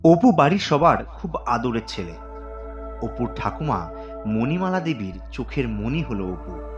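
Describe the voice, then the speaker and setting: A man narrating a scripted story dramatically as a storyteller. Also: medium pitch. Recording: dull sound with music underneath.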